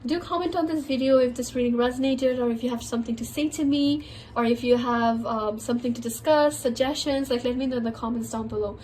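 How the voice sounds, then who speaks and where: A nasal woman with a high voice gives a casual tarot reading, sounding a bit under the weather. Her voice cracks now and then, and she leans on filler words as she rambles on. A faint hum sits underneath. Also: quick pace, darkish tone. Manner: chatty, heavy on filler words. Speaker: tarot reader.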